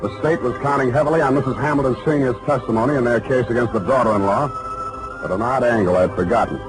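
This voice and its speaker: A man's voice: mid-range voice, fast talker, natural voice, poor audio. A man acting a wry, laconic hard-boiled private detective in a 1940s radio drama. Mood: wry narration. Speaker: actor and narrator. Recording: vintage 1940s radio broadcast, loud music.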